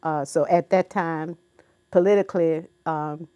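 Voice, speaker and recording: A woman in her seventies from Virginia, a guest in an interview, speaking in an unhurried, reflective way with many ums and uhs. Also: low-pitched, fairly even intonation, mellow, smooth, no echo, lo-fi sound. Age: 70s. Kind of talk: recorded interview.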